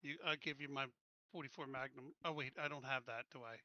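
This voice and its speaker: A man's voice: medium pitch, fairly even intonation, fairly quick, pushed and bright, no room sound, muffled recording. A man chatting casually as a player in a tabletop roleplaying game.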